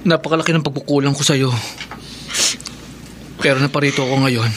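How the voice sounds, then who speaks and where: A man's voice: medium pitch, steady tone, a little fast, noisy background, close to an everyday voice. A man playing an earnest, brave young hero and suitor in a horror radio drama, declaiming melodramatically. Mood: pleading.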